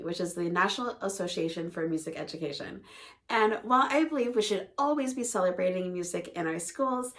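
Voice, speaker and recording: A middle-aged music teacher talks to her camera in a hushed, hoarse voice, her throat worn by a cold. She speaks softly and steadily, like she is sharing classroom ideas with fellow teachers. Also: lowish voice. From Northern Indiana, US. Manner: soft, quiet delivery. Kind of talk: teacher vlog, talking to camera.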